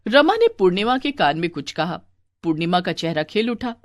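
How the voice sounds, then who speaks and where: A woman's voice: fairly low pitch, sing-song, normal speed, no echo. A woman narrating a scripted moral story as a storyteller.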